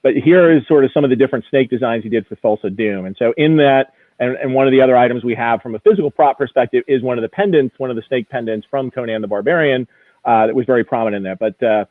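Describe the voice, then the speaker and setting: A man's voice: mid-range voice, quick pace, no echo. Man explaining over a tinny, phone-like connection. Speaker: auction house executive guest. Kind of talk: casual explanatory interview talk. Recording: tinny, narrow phone-like sound.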